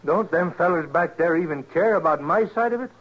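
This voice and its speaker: Husky aggrieved frontier farmer. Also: male voice, fairly high pitch, animated, brisk. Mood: indignant. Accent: rural American. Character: aggrieved, stubborn homesteading farmer, plain and proud. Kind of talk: 1950s radio western acting. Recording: dull vintage radio recording.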